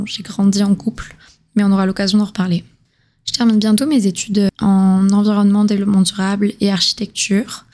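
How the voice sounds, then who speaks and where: A woman's voice: medium pitch, darkish tone. A woman in her twenties from southern France, hosting a solo podcast in a casual, confiding way with slangy chat. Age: 20s. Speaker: podcast host.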